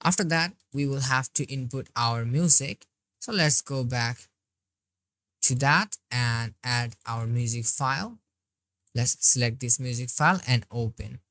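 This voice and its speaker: Woman instructor with a strikingly low voice, unhurried, talking through each click in a dry, close voice-over. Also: a bit bright, dry recording. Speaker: software tutorial instructor. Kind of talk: screen-recorded tutorial walkthrough.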